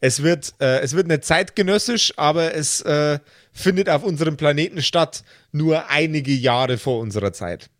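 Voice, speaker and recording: Man, fairly high voice, very dry close sound, game master, casual explaining, jokey and slangy. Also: normal speed, dry recording. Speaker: game master of a role-play podcast.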